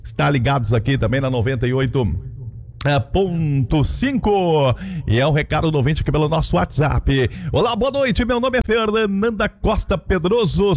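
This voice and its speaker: A man with a Brazilian Portuguese accent hosting a music radio show, talking in a chatty, folksy way and filling with little tags. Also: fairly high pitch. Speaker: radio host. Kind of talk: radio DJ chat.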